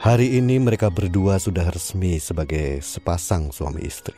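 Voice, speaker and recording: Male storyteller for an audio drama, deep and measured, music under the voice. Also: expressive, warm tone. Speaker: narrator. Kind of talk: scripted drama narration.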